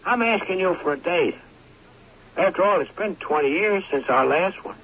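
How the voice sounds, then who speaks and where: A stubborn suitor of few words in a radio drama. His voice is very husky and swings widely in pitch. The sound is that of a muffled old broadcast. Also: expressive, normal speed, a bit bright, exaggerated voice. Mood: gruff, wry. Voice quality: husky, gravelly. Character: terse, stubborn man courting an old flame.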